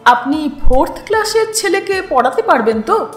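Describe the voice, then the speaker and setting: A woman's voice: high-pitched, sing-song, moderate pace. A woman voicing a stern, businesslike uncle in a story reading, questioning with authority. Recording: roomy echo with music underneath.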